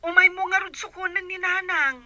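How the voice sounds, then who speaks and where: An actress playing a worried younger sister pleading for help in an Ilocano radio drama. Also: female voice, very high voice, steady tone, brisk, sharp tone, no echo, dull audio, exaggerated voice.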